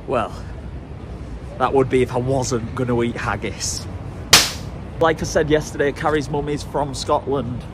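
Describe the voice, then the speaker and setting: A man's voice: mid-range voice, fairly even intonation, measured pace, pauses often, noisy background. A young man talking casually to a handheld camera on city streets, chatty, cheeky and playful. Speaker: travel vlogger. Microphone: handheld camera at arm's length.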